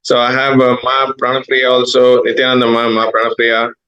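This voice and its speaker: A man hosts a live online stream and introduces the next guest. He talks in a halting way, stopping often on fillers and restarting his sentences. His voice sits at an ordinary pitch. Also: monotone, bright, forceful tone, dull audio. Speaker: host of an online program.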